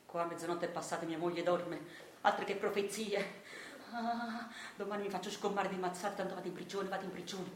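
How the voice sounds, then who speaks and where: A woman's voice: fairly low pitch, fairly even intonation, a little fast, natural voice. A woman plays a nervous, henpecked husband in a radio play. The accent is Neapolitan and the delivery is fretful and muttering. The room rings around the voice, with a little noise underneath. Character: anxious henpecked husband, muttering schemer, dreading his wife. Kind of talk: theatrical radio monologue. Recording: roomy sound with faint noise.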